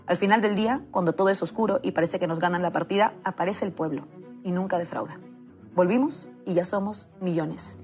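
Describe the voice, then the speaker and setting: A woman's voice: fairly low pitch, brisk, darkish tone, soft background music. Fervent Peruvian woman orator. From Peru. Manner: fervent, declamatory, with rhetorical repetition. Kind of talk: impassioned political speech.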